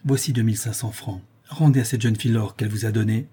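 A stern storybook prince, voiced by a male narrator, with a mellow, husky tone. He gives orders curtly and imperiously, righteous and hard. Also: lowish voice, fairly even intonation, fast. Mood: composed.

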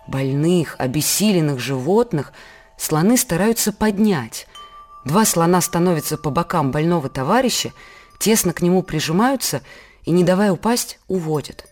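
A patient mother, played by a woman, speaks in a scripted children's programme with music behind her. Her delivery is measured and explanatory, like storytelling with a lesson in it. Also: deep voice, a little mellow. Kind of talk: scripted educational narration for children.

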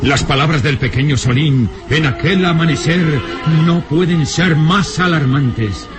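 A man's voice: fairly high pitch, unhurried, over music, close to an everyday voice. An actor as a suspenseful narrator of a radio serial, formal and emphatic in his dramatic phrasing.

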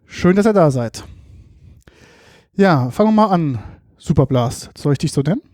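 A man's voice: fairly high pitch, animated, normal speed, a little mellow, no room sound. A man hosting a podcast from a studio, asking two guests short, playful questions in a casual interview. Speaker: podcast host.

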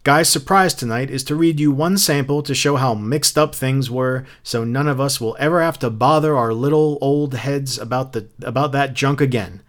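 A man's voice: mid-range voice, normal speed, few pauses, natural voice. A man voicing a bossy, nervous housewife in a storybook reading, chattering and coaxing with false sweetness. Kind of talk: audiobook character acting.

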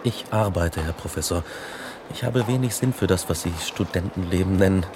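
Obsessed young scientist for radio drama: grave, intense, low-pitched, with a creaky edge. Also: male voice, normal speed, background noise. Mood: curt. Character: Victor Frankenstein, obsessed young male scientist recounting his horrors. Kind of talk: German radio drama acting and narration.

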